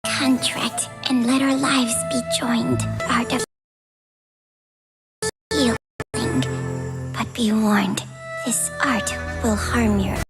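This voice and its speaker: A woman's voice: on the high side, level tone, slow pace, pauses often, music in the background. A woman voicing an ancient, grave spirit creature that speaks in solemn, formal pronouncements in a fantasy game. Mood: solemn warning. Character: ancient, grave contract-bearing spirit creature, warning and knowing. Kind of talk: dramatic fantasy game acting.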